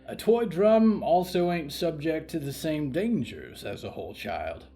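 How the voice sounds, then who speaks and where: A silver-haired old cowboy tracker, played by a man in an audio story. He speaks in a folksy, courteous way with animated pitch movement. He comes across as calm and practical. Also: a little slow, soft background music. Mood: steady, practical. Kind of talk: audio fiction story acting.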